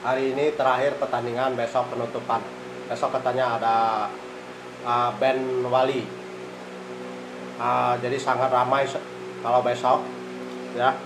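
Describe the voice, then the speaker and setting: Middle-aged man, food vlogger, even monotone, unhurried, recorded on a tabletop phone with dish clatter. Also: mid-range voice. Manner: slow, murmuring, eats between phrases, frequent "eh" fillers. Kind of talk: casual eating vlog. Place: small rented room indoors. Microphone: phone camera on the table. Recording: phone recording with room noise and cutlery clatter. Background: clinking cutlery and dishes.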